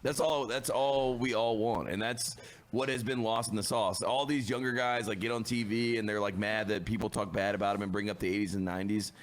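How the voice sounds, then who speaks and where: A man co-hosting a sports podcast, slightly hoarse, ranting emphatically and repeating his points. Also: on the low side, steady tone, a little fast. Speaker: podcast co-host. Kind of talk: casual sports chat.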